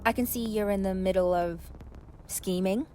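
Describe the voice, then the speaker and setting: A woman's voice: medium pitch, normal speed, a little mellow, soft background music, lightly acted. An actress playing a polite, hesitant but bold female hostage in a radio play, with a dry delivery. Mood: awkwardly polite.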